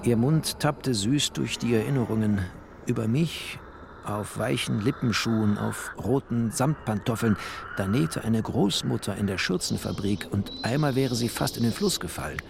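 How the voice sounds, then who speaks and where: A bookish, weary refugee narrator in a literary audio drama. His mid-pitched, gravelly voice delivers terse, deliberate, expressive phrases over clearly audible ambient noise. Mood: terse, brooding. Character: bookish male first-person narrator, a weary refugee traveller. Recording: clear noisy ambience behind the voice.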